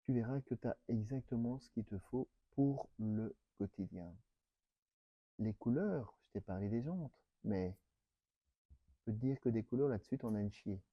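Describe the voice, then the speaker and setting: A man's voice: mid-range voice, moderate pace, no room sound, muffled recording. A man with a Belgian French accent presenting a car in a casual, chatty way, talking to the viewer in familiar, slangy words.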